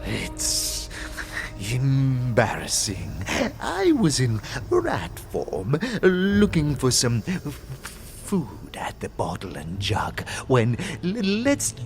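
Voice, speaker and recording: A man's voice: mid-range voice, wildly swooping pitch, drawn-out words, faint music behind, heavily acted. A man voicing a chittering dead wererat skull in a fantasy audio drama, stuttering and giggling nervously. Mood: embarrassed. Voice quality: chittering, creaky.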